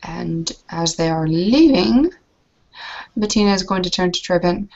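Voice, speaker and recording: A woman taking part in a tabletop roleplay, talking softly and hesitantly with many ums. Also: lowish voice, expressive, a little slow, muffled recording. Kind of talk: casual roleplay talk.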